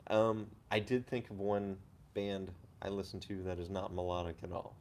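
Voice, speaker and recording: A man guesting on a casual music chat, speaking in a measured, even-tempered way about songwriting. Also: low voice. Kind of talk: casual chat over beers.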